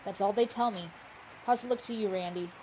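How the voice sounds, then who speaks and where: An actress playing a brisk, no-nonsense newspaper colleague in a radio drama, businesslike and pressing. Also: female voice, mid-range voice, a little mellow, close to an everyday voice, rough recording. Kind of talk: old-time radio drama acting. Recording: background noise, home recording.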